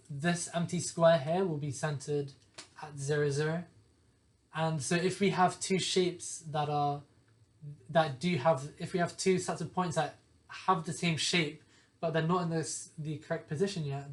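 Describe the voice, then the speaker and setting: A young man with a hoarse voice from a lingering cough, methodically explaining code step by step and often correcting himself. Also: on the high side. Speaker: programming tutor. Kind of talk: screen-share coding walkthrough.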